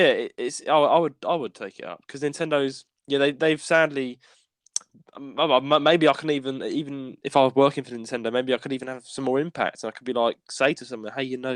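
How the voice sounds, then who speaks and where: A man co-hosting a casual podcast, stammering and restarting often, with a sore throat he keeps clearing. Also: mid-range voice, brisk, dry recording. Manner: stammering, restarts often, laughs while talking. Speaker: podcast co-host. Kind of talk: casual chat. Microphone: podcast microphone.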